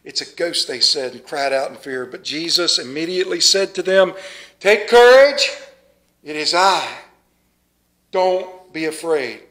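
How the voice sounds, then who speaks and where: Animated high-voiced pastor preaching. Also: male voice. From United States. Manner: animated, moves about the pulpit, dramatic pauses. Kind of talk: sermon with scripture reading. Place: small church sanctuary. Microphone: stand mic at pulpit, far camera.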